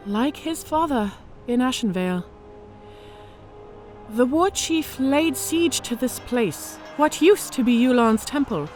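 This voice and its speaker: An actress playing a battle-scarred, worldly female orc officer telling war stories in an audio drama with measured, theatrical delivery. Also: high-pitched, lively intonation, faint music behind. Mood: grave storytelling. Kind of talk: audio drama character acting.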